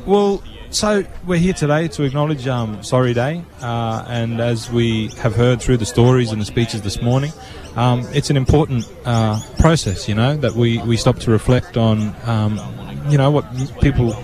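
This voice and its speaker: A man's voice: medium pitch, normal speed, hardly any pauses. An Australian man hosting a radio interview in a friendly, informal way with many fillers, broadcasting outdoors at a public event. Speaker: radio host. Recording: outdoor broadcast with crowd murmur.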